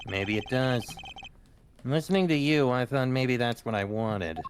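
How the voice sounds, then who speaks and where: A man's voice: mid-range voice, measured pace, background noise, lightly acted. A man playing a joking young avenger. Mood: earnest. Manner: casual, jokey, ad-libbing crude gags. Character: young man confronting his father's killer, joking. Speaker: fan dubber. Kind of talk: comedic fan dub of a video game. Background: game music.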